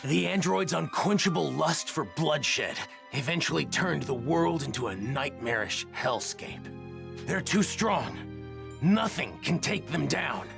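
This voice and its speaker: An actor playing an earnest, grave young warrior from a doomed future in an anime video game dub, speaking politely and deliberately. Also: male voice, fairly high pitch, lively intonation, vocal fry, over music, full character voice. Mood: somber.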